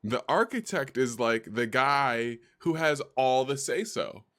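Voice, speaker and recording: A man live streaming and chatting excitedly, often repeating words in quick bursts. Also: medium pitch. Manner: excitable, repeats himself rapidly.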